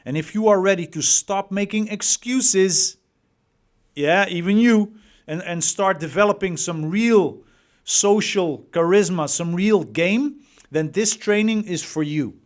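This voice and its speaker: A high-voiced man with a Dutch accent delivers a motivational coaching talk at an unhurried pace. He leans on repetition and rhetorical questions to drive his points home. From Netherlands. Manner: emphatic, repeats words, rhetorical questions. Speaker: dating coach.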